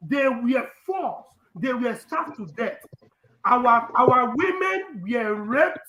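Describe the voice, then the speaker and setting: A man's voice: extremely high pitch, expressive, slow pace, roomy sound, noisy background. A man with a Nigerian accent, a native Urhobo speaker, giving an impassioned live speech that repeats phrases for emphasis. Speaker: activist speaker.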